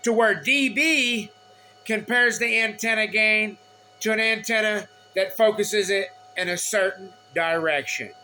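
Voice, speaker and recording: A man giving an informal technical explanation from notes, speaking haltingly in short, repeated phrases. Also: high voice, pushed and bright, slight background noise. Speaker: explainer of radio antenna measurements.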